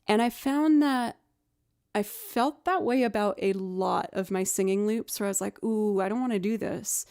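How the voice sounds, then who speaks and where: A woman with a low alto voice, chatting casually and upbeat between songs on her live music stream. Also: moderate pace, a little mellow, big-room sound. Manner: chatty and upbeat, thanking viewers.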